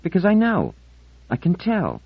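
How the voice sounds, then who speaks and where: A man's voice: high voice, huge pitch swings, measured pace, gravelly, breathy edge, dry recording, lo-fi sound, pitched above the performer's own voice, full character voice. A man voicing a moody, articulate teenage girl in an audiobook, insistent and indignant in manner.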